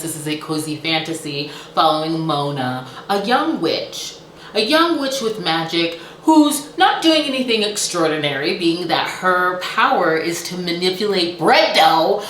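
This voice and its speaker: A woman's voice: lowish voice, fairly bright tone, a little hiss or hum. A woman talking casually and enthusiastically as a book reviewer, gushing and playful with dramatic emphasis on her words. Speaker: book reviewer and channel host. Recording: roomy, echoey indoor sound.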